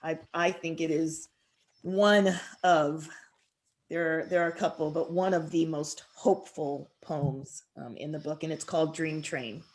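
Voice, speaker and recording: Female poet, slightly low voice, unhurried and expressive, measured reading style. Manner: measured, deliberate, expressive delivery. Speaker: author reading her poetry. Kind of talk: poetry reading with introduction.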